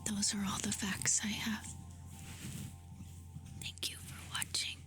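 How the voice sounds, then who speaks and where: A woman doing soft-spoken ASMR, reading facts aloud in a hushed, soothing, breathy whisper. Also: medium pitch, flat delivery. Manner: slow, hushed, soothing delivery with short phrases. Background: music.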